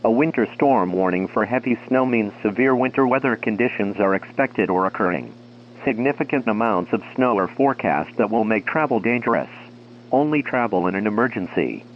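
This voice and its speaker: A man reading a scripted emergency weather bulletin in a formal, automated-sounding delivery, like a weather radio announcer. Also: fairly low pitch. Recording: muffled lo-fi weather radio, humming noise.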